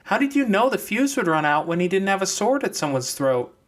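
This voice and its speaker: A man voicing an excitable young girl in a deer mask for an audiobook, speaking in an urgent, conversational way. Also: high voice, fairly quick, voice pushed up. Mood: surprised, urgent.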